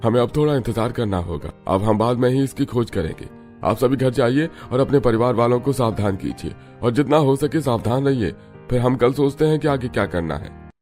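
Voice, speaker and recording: A man playing a concerned, sensible village headman who gives measured instructions in a cartoon story. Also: medium pitch, expressive, darkish tone, faint music behind, close to an everyday voice. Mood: calm instruction. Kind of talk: animated Hindi cartoon story.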